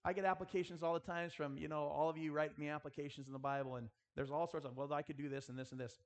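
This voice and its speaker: A man's voice: on the high side, little pitch movement, fast talker. A man preaching earnestly in a school chapel style, exhorting his listeners and addressing them directly. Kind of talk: sermon with rhetorical questions and prayer.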